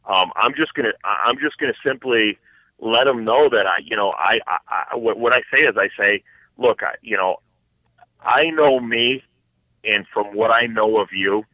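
A man explaining his work as an interview guest in a casual, halting way, restarting his phrases again and again. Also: lowish voice, bright, forceful tone, no room sound. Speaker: podcast guest, financial advisor. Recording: thin phone-like line, dry.

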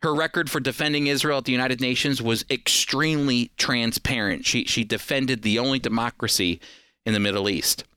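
A man's voice: mid-range voice, brisk, bright, forceful tone, clear, resonant tone, no room sound. An American man hosting a radio program, delivering prepared commentary in a talk show style.

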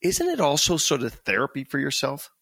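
A man's voice: mid-range voice, huge pitch swings, fairly quick, fairly bright tone, no echo, studio quality. A man putting a question to a guest in a conversational interview.

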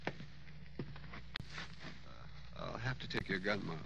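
A man's voice: low voice, rapid-fire, vocal fry, bright, forceful tone, full character voice. An American man playing an earnest, conscience-stricken brother and reluctant gunman in a 1940s radio drama. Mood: bitter, reluctant. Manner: earnest, pleading, hesitant. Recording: noisy vintage radio recording.

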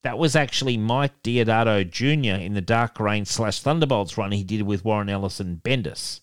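A man's voice: on the low side, normal speed, clear, resonant tone, dry recording, studio quality. Nasal man reading out emails. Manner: laughs often, chuckling between remarks. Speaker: podcast co-host reading listener emails. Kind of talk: casual chat.

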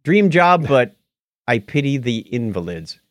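A man's voice: mid-range voice, sing-song, unhurried, a bit bright, dry recording, clean studio sound. A man co-hosting a podcast, bantering casually and reading out listener feedback. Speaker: podcast co-host.

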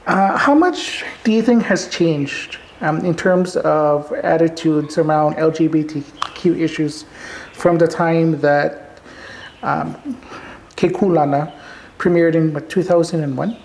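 A woman from Hawaii whose first language is Hawaiian, interviewing in a relaxed, thoughtful way with frequent pauses and fillers. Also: low voice. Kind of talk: conversational interview.

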